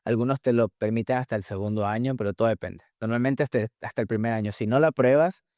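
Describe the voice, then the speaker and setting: A man, a medical resident whose first language is Spanish, answering interview questions in a relaxed explanatory way with many restarts and fillers. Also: medium pitch, fast, no echo, clean studio sound. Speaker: guest, surgery resident in the US.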